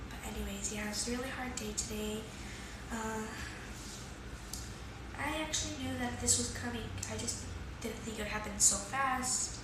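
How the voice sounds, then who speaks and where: A young woman talks into her phone camera in a small restroom, her slightly high voice bouncing hard off the walls. She rambles and restarts with lots of fillers, and a loud steady hiss sits under everything. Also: normal speed, very echoey. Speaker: vlogger. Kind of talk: personal vlog. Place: funeral home restroom.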